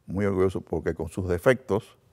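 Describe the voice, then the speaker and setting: Man as guest, very low voice, earnest, halting. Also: darkish tone. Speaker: guest, television producer. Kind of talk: casual conversation.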